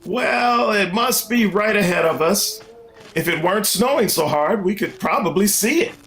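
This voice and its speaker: A man's voice: sits high, expressive, normal speed, fairly bright tone. A man playing a flustered, folksy middle-aged father in an old-time radio comedy, hesitant and stammering. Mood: reassuring.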